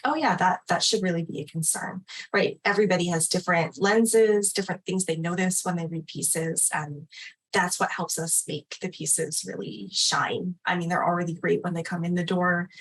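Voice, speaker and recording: A woman's voice: fairly low pitch, fairly bright tone, husky, poor audio. A woman hosting a discussion as an editor, speaking in a casual, conversational and encouraging way. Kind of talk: casual moderating of an online literary discussion.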